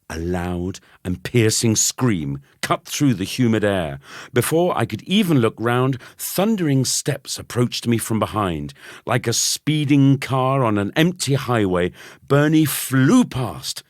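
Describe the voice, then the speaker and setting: A man narrating a children's story expressively, with dramatic and deliberate phrasing. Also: medium pitch, sing-song, dry recording, clean studio sound. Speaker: audiobook narrator.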